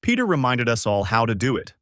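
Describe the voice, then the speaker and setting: A man's voice: mid-range voice, wildly swooping pitch, fairly quick, a bit bright, no echo. A male narrator reads an earnest mother giving her account in a story. The delivery is that of narrated dialogue, recorded dry and close. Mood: earnest, moved. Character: earnest mother of a small boy, crime witness.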